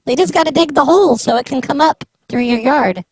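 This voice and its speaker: A woman's voice: sits high, brisk, dry recording, close to an everyday voice. A woman playing a flippant, dismissive city official on an improvised prank call, blunt and nonchalant.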